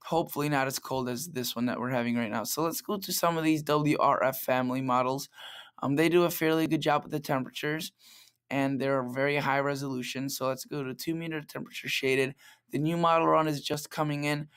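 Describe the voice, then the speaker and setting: Slightly raspy man giving a casual forecast. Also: medium pitch, steady tone, fairly quick, a bit bright. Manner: chatty, loose, talks through model maps. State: slightly raspy late at night. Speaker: weather forecaster. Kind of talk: casual weather forecast vlog. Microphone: plug-in microphone.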